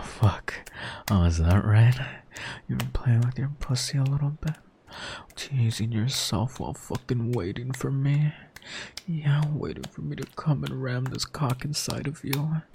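A man performing a whispered erotic roleplay monologue in a dominant, husky manner with moans. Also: on the low side, background noise.

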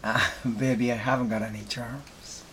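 A slightly hoarse man with an Irish accent, whispering softly with gentle chuckles in an ASMR boyfriend roleplay. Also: medium pitch, level tone, normal speed, a little hiss or hum.

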